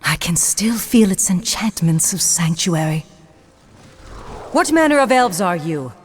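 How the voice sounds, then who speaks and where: An actress playing a solemn, wary noble elf woman in a fantasy video game, measured and at times breathy. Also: lowish voice, very animated. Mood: solemn wariness.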